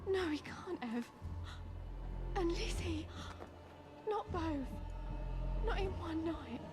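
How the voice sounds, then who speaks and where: A frightened Victorian Londoner. Her voice is extremely high and slow with distress. Clear music sits behind this game performance. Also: expressive, exaggerated voice. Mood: anguished. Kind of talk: video game acting.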